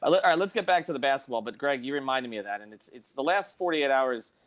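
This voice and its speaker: Man, sports talk host, mid-pitched voice, halting, repeats himself, steers the panel. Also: lively intonation. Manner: halting, repeats words as he thinks.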